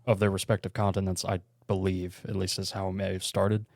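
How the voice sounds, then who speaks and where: A man's voice: low-pitched, little pitch movement, a little fast, gravelly, darkish tone, no room sound, studio quality. A man co-hosting a podcast, casually explaining things and chiming in with agreement. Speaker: podcast co-host.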